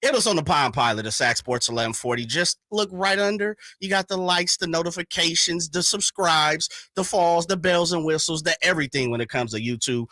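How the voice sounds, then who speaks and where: Bright-voiced radio guy, slangy and hyped, dry studio sound. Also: fairly high pitch, fairly quick, few pauses, dry recording. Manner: hype, slangy and playful. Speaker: radio co-host. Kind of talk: sports radio chat.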